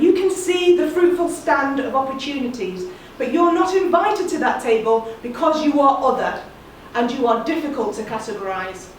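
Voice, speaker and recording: A woman, giving an earnest, measured spoken-word monologue. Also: sits high, a little hiss or hum. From England. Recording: very echoey room, distant mic.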